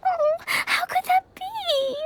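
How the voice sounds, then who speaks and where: A woman's voice: extremely high pitch, animated, very slow, over loud music, full character voice. A woman voicing a sweet, innocent, trusting little girl in a video game, in a childlike voice. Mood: dismayed. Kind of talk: video game cutscene voice acting.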